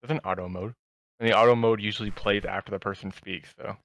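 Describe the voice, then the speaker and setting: A man co-hosting a podcast in casual chat, speaking haltingly and often rephrasing himself. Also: fairly low pitch, fairly even intonation, creaky, fairly bright tone, no room sound, low-quality recording. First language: English. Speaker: podcast co-host.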